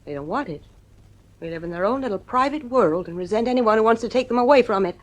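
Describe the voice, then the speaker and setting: A woman's voice: fairly high pitch, expressive, fast talker, natural voice. An actress playing an anxious, weary wife and mother in a 1950s radio drama. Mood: bitter, fretful. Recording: vintage radio drama recording.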